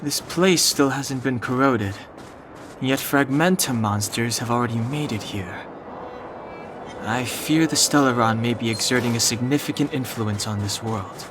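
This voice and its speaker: A man voicing a calm, serious, dry-witted young guard in an anime-style game, composed and deadpan. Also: mid-range voice, a little slow, background noise, lightly acted. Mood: grave. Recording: game music under the voice. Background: background music.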